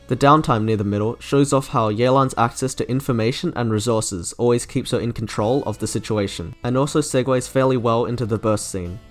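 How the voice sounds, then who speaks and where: A man reading a scripted video review as its narrator. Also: medium pitch. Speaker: video essay host ranking game trailers.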